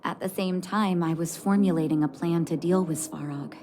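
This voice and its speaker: An actress voicing a calm, caring leader of an underground resistance in a video game, measured and gracious. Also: female voice, lowish voice, natural voice. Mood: grateful, composed. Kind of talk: video game cutscene dialogue. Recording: music playing behind the voice.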